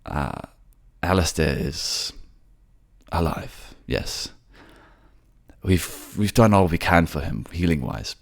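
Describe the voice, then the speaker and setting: A man's voice: low voice, lively intonation, takes it slowly, vocal fry, exaggerated voice. A man playing a kind, remorseful young prince in a fantasy audio drama, speaking hesitantly. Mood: somber, halting. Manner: hesitant, stammering.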